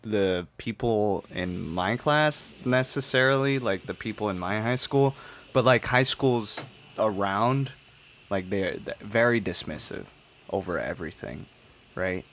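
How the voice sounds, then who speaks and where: Casting: young man around twenty, low-pitched voice, loose podcast chat, emphatic and sweary. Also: a little slow, fairly bright tone, a little hiss or hum. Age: 19, turning twenty. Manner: profane, emphatic rambling. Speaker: podcast host. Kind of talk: casual chat.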